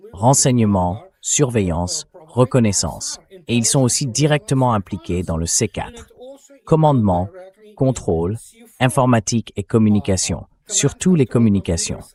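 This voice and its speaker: A man's voice: medium pitch, warm tone. A man's synthetic voice dubbing a blunt, emphatic political commentator in an interview. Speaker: interview guest, translated voice-over.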